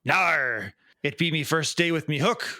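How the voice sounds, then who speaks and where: A man voicing a salty pirate telling tall tales in a stage pirate accent, with drawn-out yars and seafaring slang. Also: a little high, sharp tone, no echo, clean studio sound. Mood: boastful.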